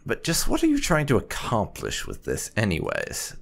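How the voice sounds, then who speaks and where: A man's voice: mid-range voice, wildly swooping pitch, moderate pace, gravelly, a little hiss or hum. A man hosting a gameplay video with casual, lightly role-played commentary, muttering to himself with dry sarcasm. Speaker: gaming host.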